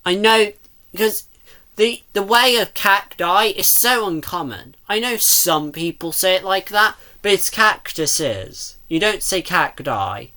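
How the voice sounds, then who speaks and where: Bright-voiced reactor nitpicking casually. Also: medium pitch, animated, unhurried. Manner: questioning, nitpicking, rambling. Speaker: reactor commenting on a video.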